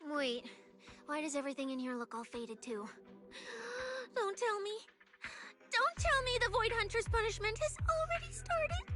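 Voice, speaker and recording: A very high, squeaky small creature, anxious and theatrical, swinging widely in pitch. It is a video game character with excitable, self-important delivery, over clear background music. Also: female voice, moderate pace, heavily acted. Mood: panicked.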